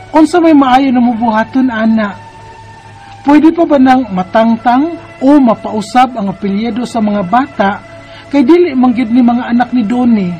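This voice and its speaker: Babayeng Cebuano nga naguol, may musika, gidula sa aktres. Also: female voice, a little high, dark tone, natural voice. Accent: Cebuano Filipino. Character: troubled woman confiding her tangled love life. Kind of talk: radio drama letter narration. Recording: music under the voice. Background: background music.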